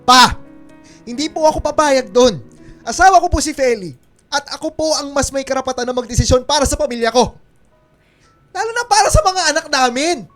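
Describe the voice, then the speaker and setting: A man's voice: extremely high pitch, expressive, brisk, pauses often, a bit bright. An actor playing a betrayed young husband and father in a Filipino radio melodrama, emotional and pleading. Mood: firm, protesting. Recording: music bed behind the voice.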